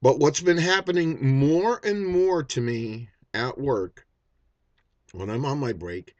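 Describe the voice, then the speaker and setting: A man's voice: medium pitch, slow, darkish tone, dry recording, dull audio. A man in his fifties talking bluntly in an unscripted vlog, swearing often and restarting his sentences. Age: 50s. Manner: blunt and profane, with many restarts and fillers. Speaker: vaping YouTuber.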